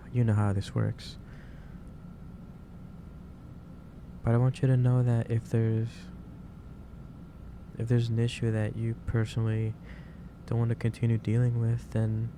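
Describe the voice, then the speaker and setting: A man playing a gentle, caring office boss in a soft-spoken ASMR roleplay, speaking haltingly and repeating himself. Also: mid-range voice, noisy background, natural voice. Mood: caring, reassuring. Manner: hesitant, halting, repeats phrases. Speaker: roleplay performer.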